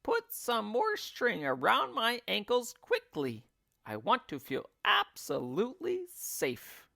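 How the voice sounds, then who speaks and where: Storybook old hag of a wife: high, dramatic, silly, exclaiming. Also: male voice, huge pitch swings, slow, creaky, bright, forceful tone, no room sound, studio quality, full character voice. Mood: urgent. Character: ugly, beastly old wife, shrill and silly. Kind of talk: storybook character voice.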